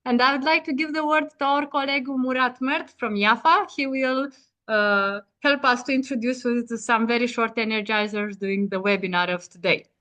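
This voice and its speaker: Higher-pitched woman moderating a webinar. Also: steady tone, moderate pace, sharp tone. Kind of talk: informal webinar hosting.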